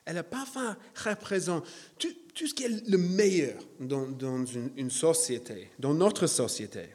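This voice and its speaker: Slow-spoken preacher. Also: male voice, fairly high pitch, lively intonation, darkish tone. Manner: self-deprecating, hesitant with many fillers. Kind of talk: sermon.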